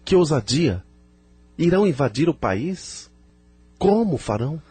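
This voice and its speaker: A man's voice: fairly high pitch, sing-song, unhurried, dark tone, husky, no room sound, heavily acted. A man with a Brazilian accent playing an earnest, formal spirit helper in an audio drama. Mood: astonished. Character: earnest male spirit helper, easily astonished. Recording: music bed behind the voice.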